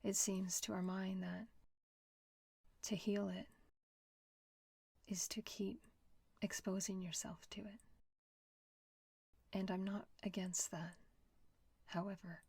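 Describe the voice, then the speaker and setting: A woman leading a guided meditation in a soft, whispery, gentle voice with long pauses. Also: medium pitch, little pitch movement, vocal fry, slightly rough, no echo.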